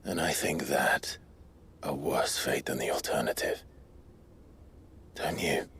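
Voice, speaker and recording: A man playing a grave, gentle young hero in a video game, speaking in a measured, breathy near-whisper. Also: extremely low pitch, full character voice. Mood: grave, earnest. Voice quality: breathy, often half-whispered. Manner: solemn, measured. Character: gentle, grave young male hero bearing heavy burdens. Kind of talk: video game cutscene acting. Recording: music behind the voice.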